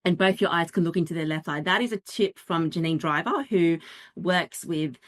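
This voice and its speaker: A woman presenting a dating workshop, explaining in a chatty way with quick asides and little acted-out quotes. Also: fairly low pitch. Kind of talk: interactive workshop talk.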